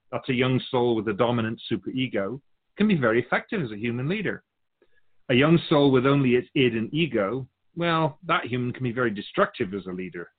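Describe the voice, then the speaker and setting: A man teaches in a conversational, spiritual-guide style. His delivery is measured and explanatory, with lively, wide-moving intonation. The voice sits at a middle pitch. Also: narrow, tinny audio. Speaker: spiritual guide speaking as the Keeper.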